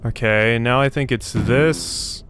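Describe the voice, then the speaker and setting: A man's voice: medium pitch, takes it slowly, background noise. A man doing casual gameplay commentary, muttering to himself as he thinks aloud in a self-deprecating way. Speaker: let's play host.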